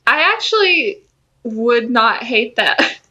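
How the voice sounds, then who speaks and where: A woman's voice: on the high side, very animated, slow pace, pushed and bright. A woman co-hosting a podcast, talking in an informal, slangy way about pop culture. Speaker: podcast co-host. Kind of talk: casual pop-culture chat.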